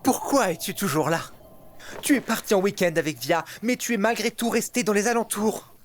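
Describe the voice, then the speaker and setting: A woman's voice: medium pitch, lively intonation, normal speed, slight background noise, lightly acted. A woman voicing a theatrical male demon prince in a fan-dubbed adult cartoon. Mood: weary, resolute. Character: lovestruck, theatrical male demon prince, weary married father. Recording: music behind the voice.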